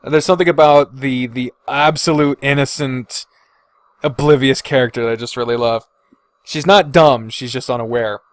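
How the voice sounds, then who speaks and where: A man's voice: mid-range voice, fairly even intonation, moderate pace, dry recording. A man hosting a podcast in casual unscripted chat, hesitant as he weighs his choices and given to swearing. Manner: hesitant deliberating, sighing, frequent swearing. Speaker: podcast host. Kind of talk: casual unscripted chat, ranking fictional characters.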